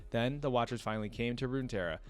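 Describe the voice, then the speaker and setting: A man with a mid-pitched voice hosts a reaction video. He talks conversationally to his viewers, thinking aloud as he goes. His delivery moves between casual commentary and reading wiki text out loud. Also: racing, faint music behind.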